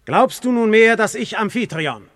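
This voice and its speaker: An actor playing a majestic, lofty god in a classical verse radio drama, declaiming solemnly. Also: male voice, high-pitched, animated, normal speed, a bit bright, dry recording, studio quality. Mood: commanding. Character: commanding god Zeus in a husband's likeness, majestic, lofty.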